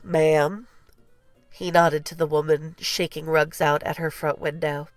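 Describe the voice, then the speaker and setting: A woman narrating a children's storybook in an expressive, deliberate read-aloud style. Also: low voice, dry recording, faint music behind. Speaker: storybook reader.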